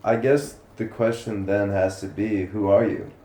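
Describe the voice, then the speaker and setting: A man's voice: fairly low pitch, fairly even intonation, unhurried, warm tone. A man interviewing casually, with a laid-back, slangy way of talking. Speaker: interviewer for a music channel. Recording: roomy echo, faint background noise.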